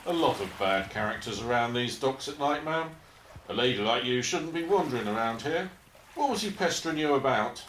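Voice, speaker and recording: An actor playing a matter-of-fact dockside police officer, deadpan and folksy, in an amateur radio play. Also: male voice, medium pitch, brisk, roomy sound, a little hiss or hum, lightly acted, poor audio. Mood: polite. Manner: deadpan, bargain-minded, folksy.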